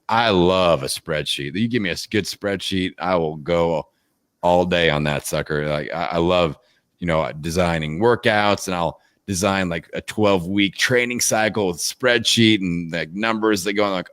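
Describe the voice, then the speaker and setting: A man with a very deep, low voice chats as an interview guest, energetic and rambling with plenty of fillers. The sound is dry and close, with no room echo. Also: moderate pace. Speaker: podcast guest, fitness coach. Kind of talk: casual interview chat. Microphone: video call.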